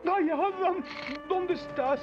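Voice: very high-pitched, slow pace, dull audio, over music. A performer with a Latin American Spanish accent voicing a cowardly, whining talking tiger in a cartoon dub. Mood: panicky. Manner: whining, fearful.

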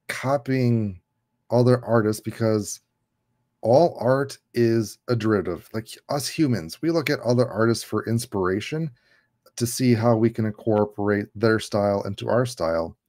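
A man's voice: medium pitch, measured pace, a little mellow. A man in his thirties hosting a casual livestream on a video call, laid-back and jokey, often laughing. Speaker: livestream host. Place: home room. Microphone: headset on a video call.

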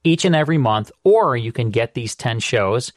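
A man's voice: mid-range voice, animated, normal speed, no room sound, clean studio sound. An American man hosting a radio show in a conversational presenting and promotional style. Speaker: radio host. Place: studio.

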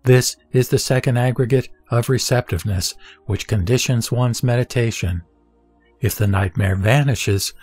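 A man reading a translated Buddhist sutra aloud in a measured, deliberate way. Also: medium pitch, dry recording. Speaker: scripture reader. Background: soft background music.

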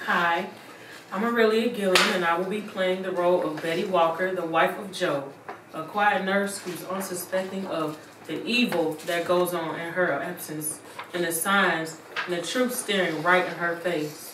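Low-pitched woman in an echoey room. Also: steady tone, normal speed. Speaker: actress promoting a stage play. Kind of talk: rehearsed fundraising appeal. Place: indoor living room. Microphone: distant camera microphone. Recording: echoey room, distant mic, clear noise.

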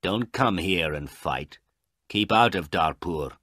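Man reading a male policeman, low-pitched, curt, commanding, storybook character. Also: lively intonation, takes it slowly, fairly bright tone, no room sound, clean studio sound, heavily acted. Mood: stern. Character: stern male policeman giving curt orders.

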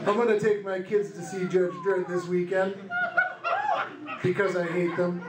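High-pitched deadpan man in an echoey club. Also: moderate pace. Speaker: stand-up comedian. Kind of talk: stand-up comedy, short one-liners. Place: small comedy club stage, indoors. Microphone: handheld stage microphone. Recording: echoey club room with loud noise. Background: loud noise, audience laughter.

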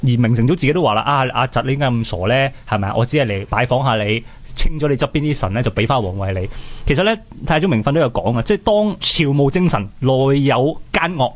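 A Hong Kong man co-hosts a history discussion at a quick pace. He explains in colloquial, conversational language, with a medium-pitched voice. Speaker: radio history programme co-host.

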